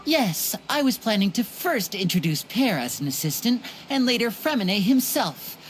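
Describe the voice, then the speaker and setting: A woman plays a young stage magician with a medium-pitched voice and lively intonation. The delivery is courteous and assured, in game style over faint music. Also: moderate pace, lightly acted. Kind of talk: video game voice acting.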